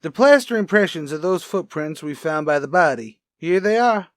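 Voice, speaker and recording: A man playing a wry, chatty police lab technician in a radio crime drama, brisk and casual. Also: fairly high pitch, expressive, no echo, studio quality, close to an everyday voice. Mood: matter-of-fact. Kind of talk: old-time radio crime drama acting.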